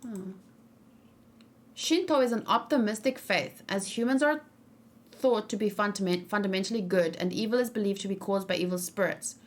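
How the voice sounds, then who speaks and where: A woman's voice: medium pitch, normal speed. A young adult woman chatting casually on a livestream as she reacts to a game trailer, frank and rambling, with lots of fillers and restarts.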